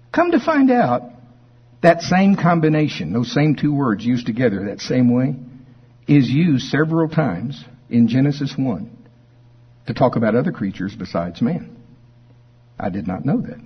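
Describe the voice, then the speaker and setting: A man's voice: medium pitch, big pitch swings, slightly rough. Folksy male preacher, slightly muffled sound. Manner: folksy, conversational, deliberate emphasis when reading scripture. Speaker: preacher teaching a Bible study. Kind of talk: informal Bible teaching to a congregation, reading verses aloud. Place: speaking live before a congregation.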